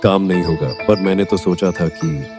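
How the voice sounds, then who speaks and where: Man playing a worried, low-voiced farmer father. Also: steady tone, moderate pace, over music, natural voice. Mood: doubtful. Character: worried old farmer, father of three lazy sons. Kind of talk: Hindi cartoon story dialogue.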